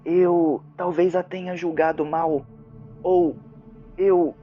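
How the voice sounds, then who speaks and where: Man playing a slow, high-voiced, hesitant spirit medium. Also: flat delivery, phone-quality sound, faint music behind. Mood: confused, worried. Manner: formal, earnest, hesitant. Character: Gwyn, hesitant formal medium who hears spirits.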